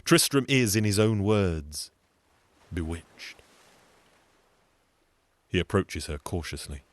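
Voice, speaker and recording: A man's voice: low voice, expressive, takes it slowly, no echo. A man with a British accent telling a scripted comic story in a wry, chatty way, full of asides. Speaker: storyteller and singer.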